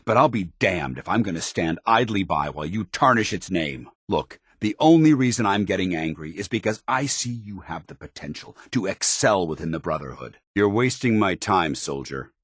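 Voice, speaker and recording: An actor playing a stern, duty-bound military commander in a video game, speaking formally with clipped authority. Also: male voice, deep voice, lively intonation, moderate pace, hardly any pauses, slightly rough, no echo, studio quality. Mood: stern, angry. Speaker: commanding officer. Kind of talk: scripted video game dialogue.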